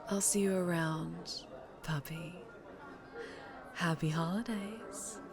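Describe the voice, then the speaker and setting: A woman playing a charming, menacing mafia boss in an ASMR roleplay, with a sultry, teasing, unhurried drawl. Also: on the low side, creaky, over music. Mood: seductive, coaxing.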